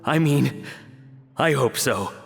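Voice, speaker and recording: A man's voice: medium pitch, animated, slow pace, gravelly, in a large hall, faint music behind. A man playing an anxious, earnest chef and father in a video game, speaking hesitantly and full of self-doubt. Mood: earnest, unsure. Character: anxious, earnest male chef and father, shaken after breakdown.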